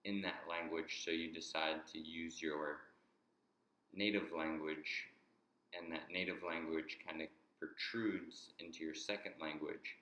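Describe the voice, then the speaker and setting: Deep, bright-toned man in an echoey room. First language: English. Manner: halting, with frequent restarts and repeated words. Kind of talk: explanatory monologue.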